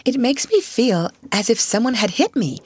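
A woman's voice: fairly high pitch, big pitch swings, moderate pace, a little mellow, breathy edge, dry recording, voice pushed up. A woman voicing a kind, motherly schoolgirl who is self-controlled and earnest, in a storybook reading. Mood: confiding. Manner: earnest, coaxing.

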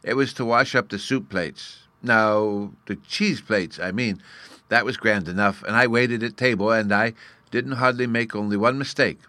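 A man's voice: on the low side, moderate pace, sharp tone, no echo. A man voicing a tiny, earnest and proud boy fairy from a storybook, scornful at times, in childish baby talk with mispronounced words. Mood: grave, proud. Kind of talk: storybook character reading.

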